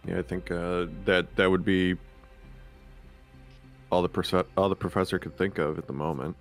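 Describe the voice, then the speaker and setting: A man playing a tabletop game, chatting casually in a halting, tentative way. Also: low-pitched, fairly even intonation, soft background music.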